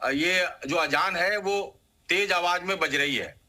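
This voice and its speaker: A man gives a live news interview as an activist leader. His voice is high and extremely bright, with a hard forceful edge. He sets out demands and grievances. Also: slow pace. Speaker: activist group president, debate guest.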